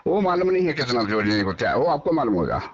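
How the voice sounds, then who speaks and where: A man on a recorded phone call, talking informally and haltingly, repeating himself as he pleads to be heard. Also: medium pitch, sing-song, crowd noise. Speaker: person being questioned about a dispute. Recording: muffled, band-limited phone call recording.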